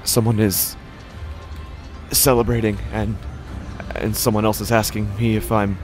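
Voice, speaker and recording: A man playing a desperate, unraveling gambler, narrating a horror audio drama in the first person with halting, haunted, dramatic delivery. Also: fairly low pitch, level tone, music in the background. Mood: rising panic.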